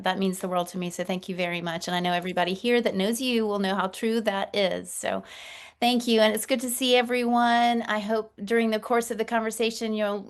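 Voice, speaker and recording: A woman who coaches communication, teaching an interactive webinar over a video call in a warm, gracious and encouraging way. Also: medium pitch, clean tone.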